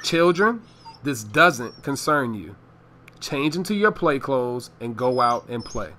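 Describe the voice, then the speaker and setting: A man's voice: mid-range voice, big pitch swings, takes it slowly, pushed and bright, a little hiss or hum, exaggerated voice. A man playing a matter-of-fact, dismissive father in a radio play.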